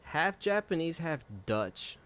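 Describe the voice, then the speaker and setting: A man's voice: fairly high pitch, very animated, slow, fairly bright tone, a little hiss or hum. A man streaming live, chatting casually and rambling with frequent swearing and laughter. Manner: profane, rambling, laughs often.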